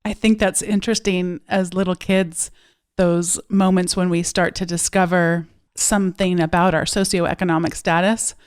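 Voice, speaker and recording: A woman's voice: lowish voice, flat delivery, moderate pace, clean tone. A woman hosting a podcast interview, speaking in a friendly, conversational way. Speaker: podcast host.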